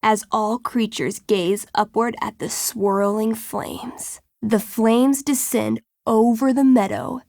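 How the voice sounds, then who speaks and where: A woman's voice: on the high side, fairly even intonation, slow, gravelly, hardly any pauses, darkish tone, dry recording, studio quality. An actress voicing a young woman game master in a video game, narrating a tabletop adventure theatrically with playful, casual touches. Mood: ominous, dramatic. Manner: theatrical, suspenseful storytelling with casual asides.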